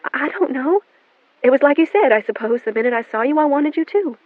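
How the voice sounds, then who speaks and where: A frantic, guilt-ridden mother pleads and stammers, as a woman puts her on for an audiobook. She pushes her pitch up well above the narration. The sound is thin and phone-like, with no room around it. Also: animated, a little fast, no echo. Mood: flustered. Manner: pleading, rising and breathless. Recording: narrow phone-like band, dry.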